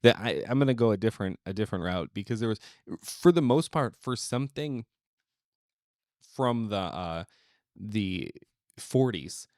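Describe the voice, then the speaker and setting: A man with a somewhat low voice speaks casually as a podcast panelist. He talks in a halting way, restarting his phrases, and the sound is dry. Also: lively intonation, a little mellow, no echo. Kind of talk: casual chat.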